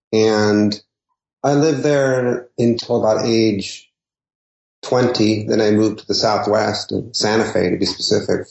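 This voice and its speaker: Gravelly hesitant man in his fifties. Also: on the low side, darkish tone. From San Diego, California. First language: Spanish. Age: 50s. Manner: hesitant, many ums and restarts. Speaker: poet guest. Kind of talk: casual interview. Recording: echoey lo-fi remote line.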